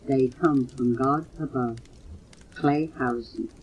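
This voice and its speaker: An older woman reading poems aloud in a measured, earnest way, recorded on a muffled old home cassette. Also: very deep voice, a little mellow, a little hiss or hum. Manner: measured, earnest recitation, announcing titles and authors. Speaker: mother reading poems for her children. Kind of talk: recited poetry reading.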